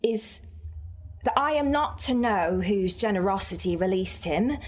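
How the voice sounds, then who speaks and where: A woman voicing a timid, humble young woman in a dramatic literary reading, earnest and tearfully grateful, halting as she speaks. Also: medium pitch, expressive, pitched above the performer's own voice. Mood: nervous, earnest. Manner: trembling, halting, repeats herself.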